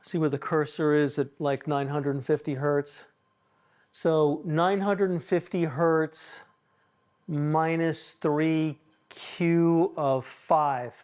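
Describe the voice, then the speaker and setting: Man with a fairly high voice, an instructor giving a hands-on technical demo, musing aloud and explaining in asides. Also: fairly even intonation, a little mellow, clean tone. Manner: halting, self-questioning, explanatory asides. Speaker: acoustics consultant leading a tuning workshop. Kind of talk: informal live technical demonstration, thinking out loud.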